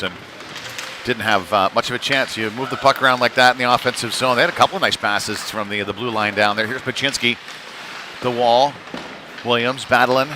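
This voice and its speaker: A man giving live play-by-play of youth hockey in a conversational, folksy manner, with pauses between calls. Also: mid-range voice. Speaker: play-by-play commentator. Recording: noisy live rink feed.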